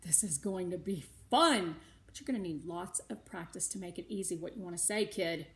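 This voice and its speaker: A woman's voice: fairly low pitch, brisk, fairly bright tone. A woman voicing an enthusiastic, patient adult helper in a storybook, with encouraging, emphatic delivery. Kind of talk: storybook character dialogue.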